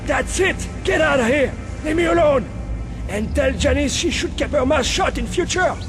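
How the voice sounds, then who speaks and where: A man's voice: very high-pitched, expressive, moderate pace, music in the background. An actor playing a frantic, aggrieved down-and-out man in a video game, whining and agitated. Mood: agitated, angry. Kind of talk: video game cutscene acting.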